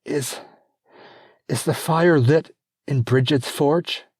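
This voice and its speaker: A man's voice: on the high side, slow pace, darkish tone, husky, no room sound, studio quality, pitched above the performer's own voice. A man doing a strained noblewoman in labor. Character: exhausted, strong-willed noblewoman in labor.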